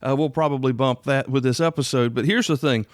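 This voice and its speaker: A man's voice: medium pitch, a little fast, no room sound, studio quality. A man hosting a podcast solo, talking in a casual, folksy, rambling way full of asides. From South Carolina, United States. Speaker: podcast host. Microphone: close podcast microphone.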